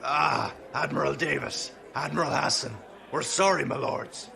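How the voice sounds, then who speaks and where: An actor playing a gruff, impatient veteran pirate crewman with a gravelly voice and brusque, rough grammar in an audio drama. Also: fairly high pitch, unhurried, a crowd in the background. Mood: nervously deferential.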